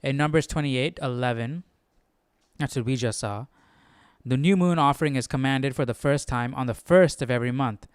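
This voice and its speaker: A man's voice: mid-range voice, moderate pace, clear, resonant tone, no echo, studio quality. A man hosting a Bible study stream, casually thinking aloud in a tentative, self-questioning way. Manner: tentative, self-questioning, often tags sentences with "right?". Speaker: host of a Bible study livestream.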